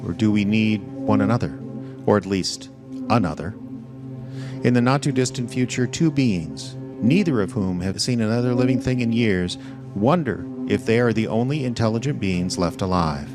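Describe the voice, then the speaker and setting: A low male voice narrates over clear background music. He reads a scripted prologue for an audio drama with dramatic phrasing. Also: expressive, normal speed.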